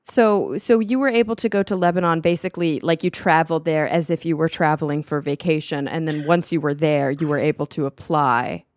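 A woman's voice: deep voice, steady tone, fairly quick, few pauses, dry recording, clean studio sound. A woman interviewing a guest, steering with short prompting questions. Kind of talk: conversational interview.

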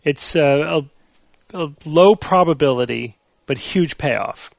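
An American man moderates a casual panel podcast in a fairly high voice with noticeable fry. He explains things in a measured way, recorded dry and close. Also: no room sound.

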